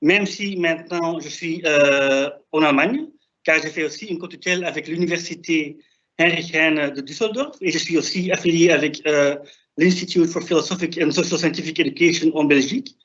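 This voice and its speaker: A young man who is not a native French speaker, with a non-native accent, giving a halting, didactic academic presentation over a video call. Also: on the high side, fairly bright tone. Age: young adult. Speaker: doctoral researcher presenting. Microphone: video call, presenting remotely. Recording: dull, band-limited online call audio.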